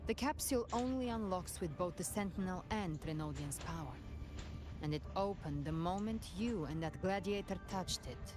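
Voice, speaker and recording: A woman playing a cool, confident avenger who hunts monsters in a video game, speaking in a measured, dramatic way. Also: fairly low pitch, lively intonation, natural voice. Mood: cool, defiant. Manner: measured, dramatic, self-assured. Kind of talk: scripted video game voice acting. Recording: game audio with loud music behind. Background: loud game music.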